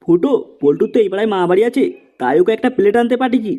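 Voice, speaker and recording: High-pitched, eager cartoon drinking buddy, played by a man. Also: lively intonation, racing, warm tone. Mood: nagging. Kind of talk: comedy cartoon dialogue.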